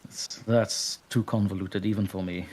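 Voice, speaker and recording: Low-voiced modest Belgian man. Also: steady tone, moderate pace, darkish tone, slightly rough. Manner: modest, laughs often. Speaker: guest narrator. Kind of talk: casual interview chat.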